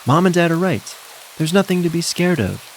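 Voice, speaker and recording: An earnest, frightened young boy from a storybook, acted out by a male narrator. The pitch is raised and moves around a lot as he reassures himself and tries to be brave. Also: animated, moderate pace, a little hiss or hum, rough recording. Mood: nervous, self-reassuring. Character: frightened eight-year-old boy trying to be brave.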